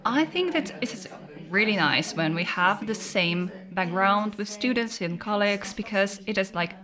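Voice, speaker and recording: A woman from Russia speaking English with a Russian accent, a lecturer on a panel who pauses to search for words. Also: medium pitch, animated, crowd noise. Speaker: computer science lecturer. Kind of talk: panel discussion.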